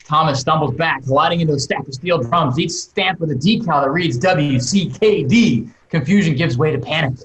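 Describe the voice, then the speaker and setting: A man's voice: a little high, normal speed, few pauses, fairly bright tone, dull audio. Dramatic male narrator in a roomy space. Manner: dramatic, emphatic storytelling. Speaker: film director reading stage directions. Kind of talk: scripted narration read aloud. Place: indoors, on a video call. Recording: roomy video call sound.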